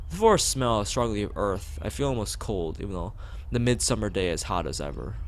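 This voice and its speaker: A man with a fairly low voice reads a visual novel aloud for a stream. His pitch moves around in a very animated way as he shifts between narration and dialogue. He sometimes stumbles over a word and carries on casually. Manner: stumbles over words while reading. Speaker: let's play streamer. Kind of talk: reading a visual novel aloud with character lines and casual asides.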